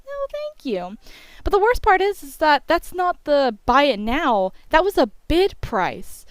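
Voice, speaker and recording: A woman's voice: high voice, big pitch swings, measured pace, dry recording. A young woman telling a story in a casual, chatty voice-over, peppered with filler phrases like "you know". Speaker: YouTube storyteller.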